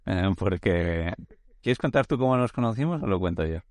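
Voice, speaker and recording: Low-voiced relaxed man hosting a podcast. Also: moderate pace, no room sound. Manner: relaxed, loose, often restarts phrases. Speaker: podcast host. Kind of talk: casual conversational interview.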